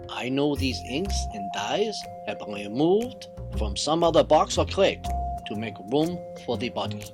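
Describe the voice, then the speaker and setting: An actor playing a clever, courteous, self-assured detective with a French accent and a formal, theatrical way of explaining, in an old-time radio mystery style. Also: male voice, medium pitch.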